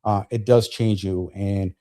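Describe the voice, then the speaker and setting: A man's voice: fairly low pitch, fairly even intonation, a little slow, mellow. A man in his fifties from New Jersey, born in Colombia, talking casually as a veteran guest on a podcast, often repeating words as he goes. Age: 50s.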